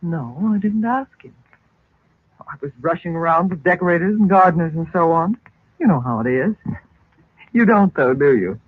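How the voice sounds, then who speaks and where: A woman's voice: on the low side, expressive, normal speed, pauses often, slightly rough, dry recording. An actress playing an anxious, chatty middle-aged mother in an old-time radio drama, with a fretful, genteel delivery. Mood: puzzled, chatty. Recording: tinny vintage radio recording.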